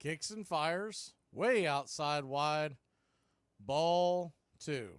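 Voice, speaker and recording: A man calling live baseball play-by-play on the radio, in a brisk, conversational way that tracks every pitch and count. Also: expressive, clean tone, no room sound, clean studio sound.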